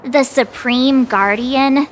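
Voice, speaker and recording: A woman voicing an informal teenage girl adventurer in a video game, girlish, bubbly and expressive. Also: high-pitched, slow pace, a little hiss or hum. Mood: curious, flustered. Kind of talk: video game cutscene voice acting.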